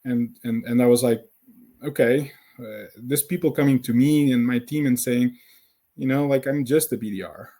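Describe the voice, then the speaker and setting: Portuguese-accented man, halting and mellow. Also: medium pitch. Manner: halting, many fillers and repeated words. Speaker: guest, founder of a sales community. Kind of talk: conversational podcast interview.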